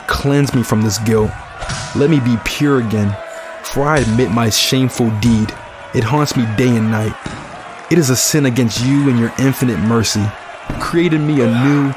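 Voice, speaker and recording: A man's voice: mid-range voice, close to an everyday voice. A man acting in an audio drama as an earnest, solemn, guilt-ridden man of faith. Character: Jordan, guilt-ridden devout man working at a deadly fight ring. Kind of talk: audio drama acting with inner monologue and prayer.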